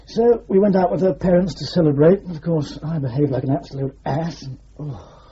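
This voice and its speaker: An actor playing a fragile, hungover, self-pitying junior intelligence officer, plaintive and rueful in a radio drama. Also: male voice, fairly high pitch, a little fast, dark tone, breathy edge. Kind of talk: broadcast radio drama acting. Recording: muffled radio recording, faint hiss.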